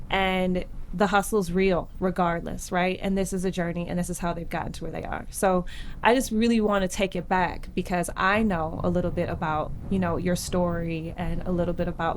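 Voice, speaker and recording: A woman's voice: on the low side, slight background noise. A woman hosting a podcast, chatting casually and encouraging her guest with frequent affirmations. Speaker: podcast host. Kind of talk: casual interview chat.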